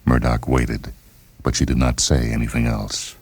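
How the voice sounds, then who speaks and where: An American man narrating a story for radio in a measured, solemn, dramatic read. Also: very deep voice, level tone. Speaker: narrator and host. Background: music under the reading.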